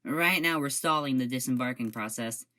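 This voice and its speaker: A woman voicing a loyal young male ship officer in an audio drama. He speaks with a low, hesitant voice and stays dutiful to his orders. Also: normal speed, sharp tone. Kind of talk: amateur audio drama acting.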